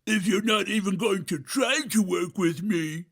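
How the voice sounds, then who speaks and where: A woman's voice: medium pitch, unhurried, breathy edge. A woman voicing a big scruffy boy monster, slobbery, pushy and easily offended, in a children's storybook. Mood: whiny, offended. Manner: slurping, whining.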